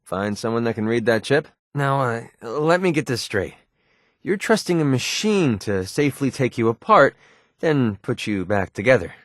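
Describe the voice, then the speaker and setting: An actor playing a wry, cocky young mercenary in a video game, with a sardonic, casual, colloquial delivery. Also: male voice, medium pitch, sing-song, normal speed, fairly bright tone, no room sound, studio quality. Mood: impressed, wry. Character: wry young male mercenary, cocky, wary, protective father. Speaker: video game companion character. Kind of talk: video game voice acting, casual banter lines.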